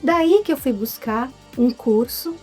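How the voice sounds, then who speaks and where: A Brazilian woman in her fifties tells her story in a relaxed interview. Her voice sits a little high and stays animated. Soft music plays in the background. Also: unhurried, husky. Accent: Brazilian Portuguese. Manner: lively, addresses the audience as "gente". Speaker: interview guest, actress. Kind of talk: casual interview chat.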